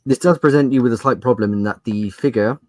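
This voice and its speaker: A man narrating a tabletop game as game master, using dramatic pauses and emphasis as he describes scenes. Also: mid-range voice, no room sound.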